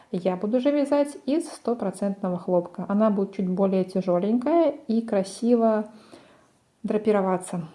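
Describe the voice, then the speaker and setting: A woman's voice: medium pitch, mellow. A woman presenting a crochet tutorial, explaining each step in a methodical way. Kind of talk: step-by-step craft instruction.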